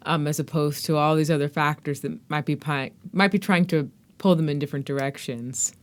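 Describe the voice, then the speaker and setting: A woman's voice: low-pitched, fairly even intonation, a little fast. A woman hosting a podcast interview in a conversational, articulate and reflective way.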